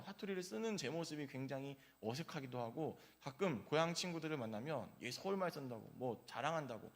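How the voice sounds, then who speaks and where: A male pastor giving an early-morning sermon in a church, telling personal stories in a conversational way with frequent filler sounds. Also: medium pitch. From South Korea, grew up in Gyeongsang region.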